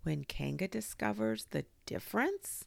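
A woman's voice: mid-range voice, big pitch swings, unhurried, creaky, dry recording, studio quality, voice pushed up, full character voice. A woman voicing a timid, anxious little male piglet in a children's storybook, with a hesitant, nervous way of talking.

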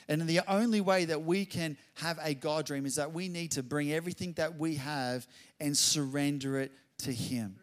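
A middle-aged man delivers a sermon in a slightly raised voice. He tells his story in a lively, conversational way. He then swells into loud, fervent exclamations. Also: on the high side. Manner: animated, builds to loud exclamations. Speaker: pastor.

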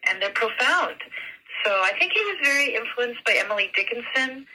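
Very bright-voiced woman in her seventies. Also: fairly high pitch, sing-song, normal speed, husky, low-quality recording. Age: 70s. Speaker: interviewee, poet discussing her former teacher. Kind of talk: reflective interview talk.

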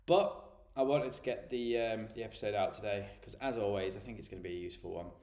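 A man's voice: lowish voice, fast talker, darkish tone, reverberant. A man, a health and nutrition coach, hosting a solo podcast and explaining things in a chatty, plain way while stuffed up from a cold.

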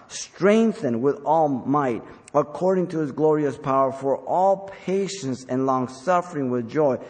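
An older man delivers a sermon to his congregation in an earnest, exhorting manner. He speaks at a medium pitch and repeats phrases to drive them home. His voice rings with the long reverb of a large hall. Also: a little mellow. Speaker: pastor preaching to his congregation. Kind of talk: sermon with scripture reading.

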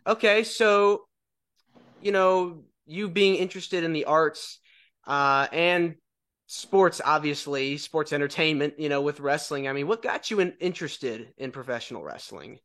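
Upbeat male podcast host, higher voice. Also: a little slow, fairly bright tone. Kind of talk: podcast interview.

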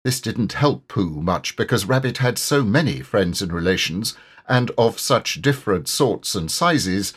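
A man's voice: fairly low pitch, lively intonation, a little slow, a bit bright, husky. A man narrating a children's story expressively, as an audiobook reader.

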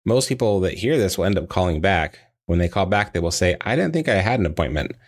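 Man, deep low voice, scripted lesson narration, measured explanatory delivery, practice trainer. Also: sing-song.

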